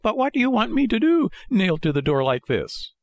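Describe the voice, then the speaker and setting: A man's voice: high voice, very animated, a little fast, a little mellow, no echo, studio quality, voice pushed up. A man voicing an impatient, dramatic wooden marionette boy from a storybook, pleading and exclaiming. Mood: desperate, pleading. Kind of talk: storybook character voice.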